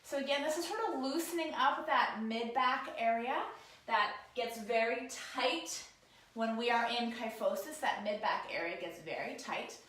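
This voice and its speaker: A woman with a cold-roughened voice, casually talking viewers through stretches at a work desk while she demonstrates them. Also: fairly high pitch, fairly bright tone, heavy reverb. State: hoarse from a cold. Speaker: posture and ergonomics instructor. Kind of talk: guided stretching lesson, talking to camera. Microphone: camera mic, sometimes turned away.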